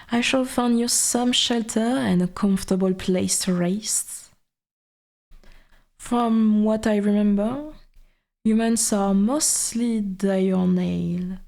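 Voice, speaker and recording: A woman's voice: mid-range voice, creaky, a little mellow. A woman playing an ancient, haughty and possessive forest god in an ASMR audio roleplay, speaking in formal, archaic, condescending phrases. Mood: gently caring.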